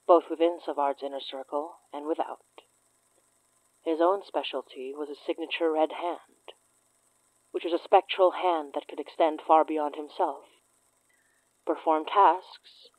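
A performer playing a solemn chronicler in a horror audio drama, reading a dossier in a measured, formal, deliberate way. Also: mid-range voice, a little mellow, no room sound, close to an everyday voice, low-quality recording.

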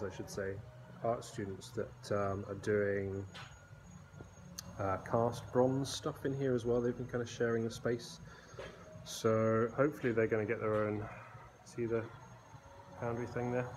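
A man in his thirties walking through his workshop, filming himself on a phone held at arm's length and talking casually with many fillers. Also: fairly low pitch. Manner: rambling and relaxed, many fillers and restarts. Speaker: blacksmith vlogger. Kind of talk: casual phone video walkthrough. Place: indoor workshop with concrete walls. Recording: noisy workshop phone recording.

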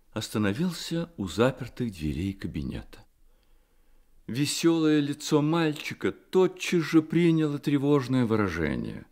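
A man narrating a literary Soviet radio drama in a measured, expressive storytelling manner. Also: mid-range voice.

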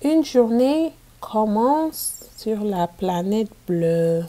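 A woman reading a picture book aloud to children as a teacher, in a deliberate manner made for learners. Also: medium pitch, very animated, warm tone. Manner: deliberate, repeats sentences for learners.

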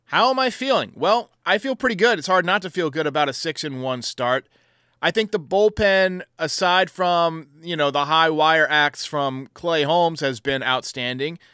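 Male sports show host, bright forceful delivery, clean close sound. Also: a little high, moderate pace, clear, resonant tone, dry recording. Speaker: host of a baseball voicemail show. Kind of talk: sports commentary answering callers.

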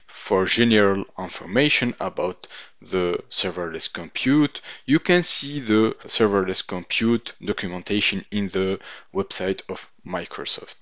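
A man giving a tutorial voice-over over slides, halting now and then with ums and uhs. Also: lowish voice, fairly bright tone, no echo. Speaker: instructor. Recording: dull lo-fi dry voice-over.

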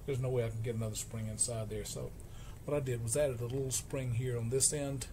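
Male repair vlogger, mid-to-low everyday pitch, explains haltingly and informally on a noisy workbench recording. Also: fairly even intonation. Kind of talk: informal hands-on repair narration. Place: indoor electronics workbench. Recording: clearly noisy workshop camera recording.

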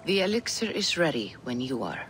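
An actress playing a composed, probing mystical seeress who guides others, in a video game. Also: female voice, low voice, big pitch swings, moderate pace, over music, low-quality recording. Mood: calm, intrigued. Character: calm, mystical Norse seeress, attentive guide. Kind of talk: cinematic video game acting.

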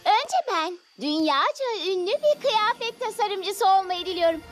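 A woman voicing a lively, fashion-loving young girl in a dubbed cartoon, with an enthusiastic delivery. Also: extremely high pitch, a little slow. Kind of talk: cartoon dubbing. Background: loud music.

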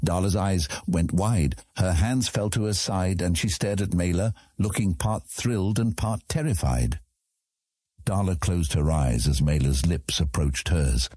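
A man with a husky voice reading scripted fantasy story narration as an audiobook narrator. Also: sits low, unhurried, warm tone, dry recording.

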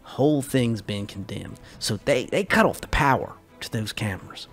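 A man voicing a weary male friend in a storybook reading, halting and low-key, close to his own voice. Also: lively intonation, soft background music, natural voice. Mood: urgent.

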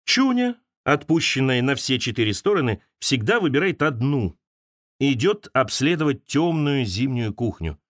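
A man narrating literary essays as an audiobook, expressively, with dramatic pauses and turns between wry and wistful. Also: mid-range voice, sing-song, fairly bright tone, dry recording, clean studio sound. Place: close, dry studio recording.

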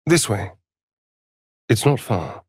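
A man's voice: fairly low pitch, sing-song, takes it slowly, darkish tone, slightly rough, no echo, studio quality, heavily acted. A male actor voicing a sly, vain vampire rogue in a fantasy video game, with an arch, flamboyant, sardonic drawl. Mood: wry, curious.

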